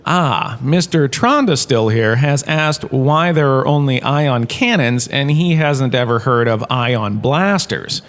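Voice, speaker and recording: A man's voice: mid-range voice, animated, slow, few pauses, fairly bright tone. A man playing a washed-up showbiz star turned university lecturer, giving a chatty, jokey scripted lecture in a comedy audio drama. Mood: playful, chatty. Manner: chatty, jokey, showman-like salesman patter.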